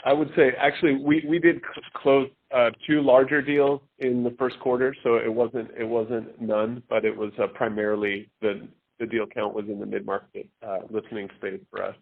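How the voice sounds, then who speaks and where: A man, a company executive, giving earnings call remarks with hesitant, pause-filled phrasing. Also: medium pitch, little pitch movement, vocal fry. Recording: phone line.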